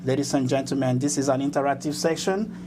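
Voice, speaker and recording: A man's voice: mid-range voice, quick pace, background noise. A man hosting an interview show in a formal, announcer-like way. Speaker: host.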